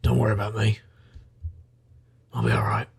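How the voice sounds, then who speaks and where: An actor playing a world-weary, rueful magical investigator, narrating a noir audio drama in a gravelly, husky voice with wry delivery. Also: male voice, on the low side, little pitch movement, moderate pace. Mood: defensive.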